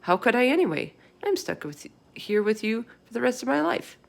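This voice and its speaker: A woman's voice: fairly high pitch, sing-song, moderate pace, vocal fry, voice pushed up. A woman voicing a small, plucky, inquisitive orphan girl in a storybook, speaking in a matter-of-fact way. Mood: insistent.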